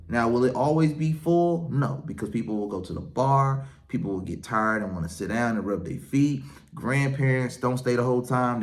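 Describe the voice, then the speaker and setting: A man who works as a wedding and event DJ, hosting his own show and giving casual, confident advice with a strong, projecting voice. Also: lowish voice. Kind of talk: casual advice talk to camera.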